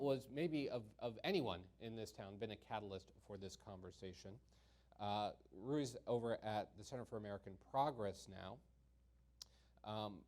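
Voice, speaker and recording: A man's voice: on the low side, darkish tone. A man moderating a panel discussion, introducing the guests with frequent ums and uhs. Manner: halting, many ums and uhs.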